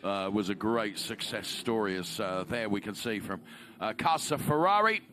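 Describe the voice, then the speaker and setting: A man giving live race commentary, pausing often on "uh" and rising with excitement at big moments. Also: fairly low pitch, a little hiss or hum. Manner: frequent "uh" fillers, excited rises.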